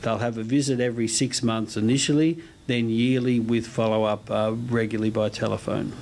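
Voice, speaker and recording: A man interviewed as a medical researcher, giving explanatory answers in a measured, slightly hesitant way. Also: mid-range voice, fairly even intonation, a little mellow. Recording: slight background location noise.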